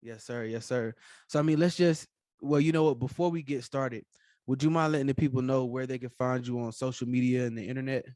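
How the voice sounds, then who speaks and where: A man's voice: medium pitch, steady tone, brisk, a little mellow, no echo, studio quality. A man hosting a podcast interview, talking casually with many fillers and encouraging remarks. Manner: casual, filler-heavy, affirming. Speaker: podcast host.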